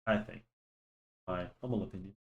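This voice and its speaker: Young male drawing host, low-pitched, rambling casual chat, nasal with a cold. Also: normal speed, gravelly, lo-fi sound. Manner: rambling, lots of restarts and fillers. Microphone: desk microphone.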